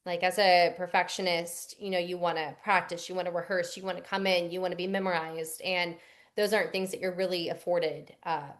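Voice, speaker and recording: A woman's voice: fairly low pitch, level tone, brisk. A young adult woman, a voice actress answering interview questions in a chatty, rambling way with fillers and restarts. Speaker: voice actress guest.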